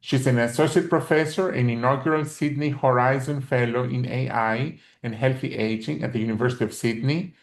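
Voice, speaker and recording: A man's voice: mid-range voice, fairly quick, few pauses, roomy sound. A man hosting a webinar, reading a formal introduction of the speaker and giving the audience housekeeping notes. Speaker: webinar host.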